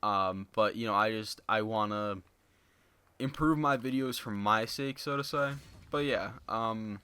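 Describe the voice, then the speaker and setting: A young man talking casually to his viewers in a flat, monotone voice, rambling with lots of fillers into a basic home microphone. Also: fairly low pitch, unhurried, clean tone, dry recording. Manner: rambling, filler-heavy, many "you know"s. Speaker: gaming YouTuber. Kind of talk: casual unscripted commentary to viewers. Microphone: basic low-end home mic.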